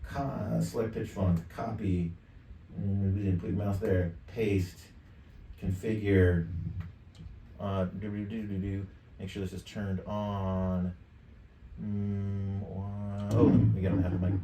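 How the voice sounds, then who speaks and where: A man in his fifties giving a casual, off-the-cuff software demonstration, jokey and slangy, with plenty of swearing. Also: lowish voice, level tone, slow. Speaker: tutorial presenter. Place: indoor home studio at a desk. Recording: roomy, distant mic, clear background noise.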